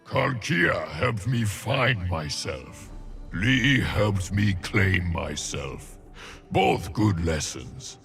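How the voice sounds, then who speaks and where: An actor playing a weary, solemn shaman warrior from a frozen wilderness in a video game, speaking in a gravelly voice with slow, weighty delivery. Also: sits low, expressive, fairly bright tone, soft background music, full character voice. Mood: solemn, tender. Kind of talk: video game character voice lines.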